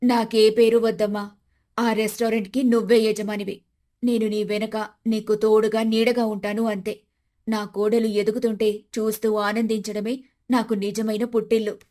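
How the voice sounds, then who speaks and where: A woman's voice: fairly high pitch, level tone, normal speed, mellow, close to an everyday voice. A woman playing a humbled, loving older mother-in-law in a moral story, speaking earnestly and with emotion. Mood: content, humble. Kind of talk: dramatised moral story dialogue.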